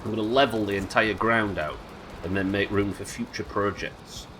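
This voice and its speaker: Male game streamer, nasal and a bit hoarse, wry muttering chat, lowish voice with a sharp edge. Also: steady tone, normal speed, slight background noise. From United Kingdom. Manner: chatty, wry, swears casually, muttering asides. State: sick, slightly hoarse.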